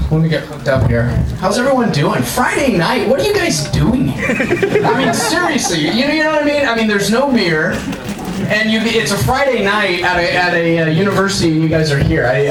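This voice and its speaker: A loud, chatty man gives a casual conference talk with plenty of fillers and restarts. His somewhat high voice is heard from a distance, echoing around a large university lecture hall with audience noise behind him. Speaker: conference speaker. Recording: echoey hall picked up from far off.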